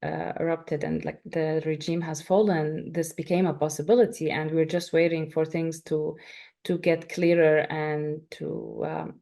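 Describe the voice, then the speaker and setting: A woman from Syria in her thirties answers interview questions in a slightly low voice with a gravelly edge. She talks reflectively and often pauses on fillers and restarts. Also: steady tone, dark tone. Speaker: interviewee, writer.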